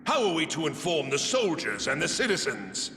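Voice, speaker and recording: A man playing a sly, smug royal schemer in a fantasy game, with a mocking, theatrical, drawn-out delivery. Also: a little high, huge pitch swings, bright, forceful tone, soft background music, full character voice. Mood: scheming urging. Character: sly, smug scheming male retainer of a king. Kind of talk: dramatic fantasy game voice acting.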